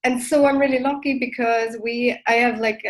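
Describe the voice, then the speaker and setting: An Irish woman with a fairly high voice, a guest on a video call. She talks in loose, hesitant chat, restarting sentences and filling gaps with likes and ums. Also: steady tone, normal speed. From Ireland. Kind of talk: casual interview chat.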